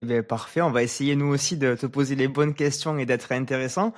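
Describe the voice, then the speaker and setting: A man with a mid-pitched voice hosts a podcast. He talks conversationally, and the sound is dry, with hardly any room around it. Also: steady tone, a little fast, no echo. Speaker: podcast host.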